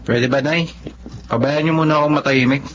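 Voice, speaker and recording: A man's voice: mid-range voice, steady tone, a little fast, dull audio, noisy background, lightly acted. A man with a Filipino accent playing an impassioned, anguished young lover in a Tagalog radio drama. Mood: frustrated, aggrieved. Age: young adult.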